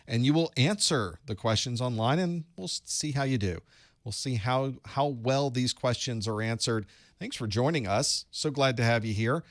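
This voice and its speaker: A man's voice: mid-range voice, no room sound. A man hosting a live online study group, chatting casually and greeting viewers with light jokes from a studio room. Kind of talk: casual live-stream chat and instruction. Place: home studio room with electronics.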